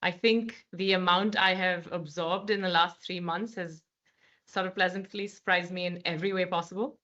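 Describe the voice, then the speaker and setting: Low bright-voiced Indian woman. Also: steady tone, moderate pace. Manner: articulate, many fillers and restarts. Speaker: guest, managing director. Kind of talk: podcast interview, conversational. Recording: slightly dull lo-fi sound.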